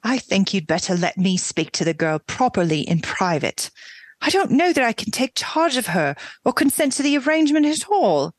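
A woman's voice: medium pitch, big pitch swings, normal speed, no echo. A woman voicing a stern middle-aged English housekeeper with a firm, reproving manner and a put-on British accent.

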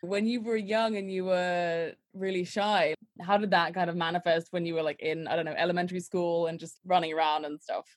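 A woman's voice: on the low side, fairly even intonation, brisk, hardly any pauses, no room sound, studio quality. A woman hosting an interview, asking questions and giving encouraging, affirming replies. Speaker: interviewer host. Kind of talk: conversational interview.

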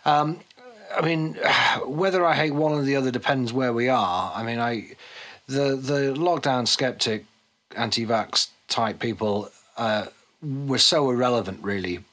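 A man's voice: mid-range voice, unhurried, fairly bright tone. Opinionated man in casual conversation. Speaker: podcast guest, policy economist and author.

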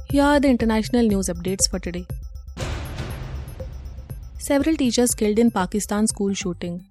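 A woman from India reads a daily news bulletin in a steady, measured delivery. Her voice is mellow and warm, at an ordinary middle pitch. Faint music plays under her. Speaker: news presenter. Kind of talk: scripted news reading.

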